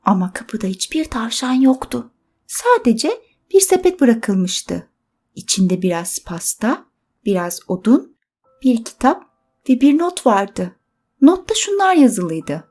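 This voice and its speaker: A woman narrating a scripted children's bedtime story expressively, with dramatic pauses. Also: fairly high pitch, sing-song, mellow.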